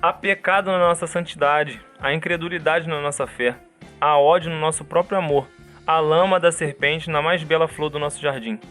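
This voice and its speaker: Calm man quoting a preacher. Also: on the high side, normal speed, faint music behind. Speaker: podcast co-host.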